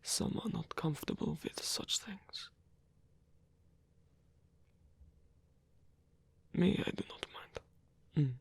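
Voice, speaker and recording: A man playing a lonely, tender old vampire with a German accent in an ASMR roleplay, speaking softly and haltingly with formal phrasing. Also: fairly high pitch, no room sound, full character voice. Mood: flustered, gentle. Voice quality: breathy, husky. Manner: hesitant, halting, formal phrasing, soft-spoken.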